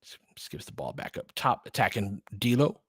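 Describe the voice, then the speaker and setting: A man's voice: on the low side, very animated, moderate pace, fairly bright tone, breathy edge, no echo. Dry, close-sounding man calling live basketball. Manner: clipped, slangy, conversational phrasing; partisan fan of one team. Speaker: basketball play-by-play commentator. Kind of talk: informal live play-by-play commentary with analysis.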